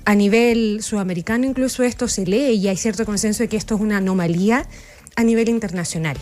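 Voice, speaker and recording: A woman's voice: medium pitch, fast talker, darkish tone. A woman with a Chilean accent moderating a live radio debate, laying out rules and putting questions to candidates.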